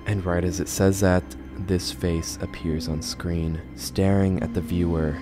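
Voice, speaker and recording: A man's voice: low-pitched, little pitch movement, a little slow, over music. A man in his twenties giving casual scripted commentary as a video host, close to a studio microphone. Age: 20s. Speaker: YouTube horror video host. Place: bedroom, indoors. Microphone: close studio microphone on a boom arm.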